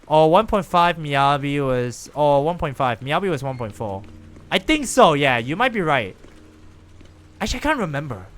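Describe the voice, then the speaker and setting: A man chatting casually with viewers and reacting out loud while he plays a game on a live stream. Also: on the high side. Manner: chatty, animated, lively reactions while playing.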